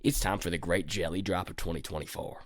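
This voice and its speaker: A man's voice: sits low, steady tone, quick pace, no room sound, clean studio sound. A man playing a self-important, childish American president in a comedy audio drama, pompous and fretful. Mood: fretful, solemn. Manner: pompous, fretful, bursts into shouting.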